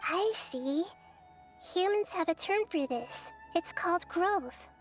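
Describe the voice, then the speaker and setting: A squeaky, excitable little fairy companion from a video game. The voice sits extremely high and swoops with curiosity and eagerness, lingering on its words. Loud music plays behind it. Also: female voice, takes it slowly, full character voice. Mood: curious. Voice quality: squeaky, childlike. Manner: speaks of self in third person, exclaims eagerly. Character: small childlike female fairy companion, cheerful, curious, excitable. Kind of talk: video game character acting. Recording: clean voice under loud music.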